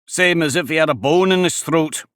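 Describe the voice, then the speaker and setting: A man voicing a bossy, boisterous male griffin who speaks loudly and decidedly. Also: a little high, brisk, no room sound, studio quality, pitched above the performer's own voice, natural voice. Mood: brisk. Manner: loud, decided and blustering.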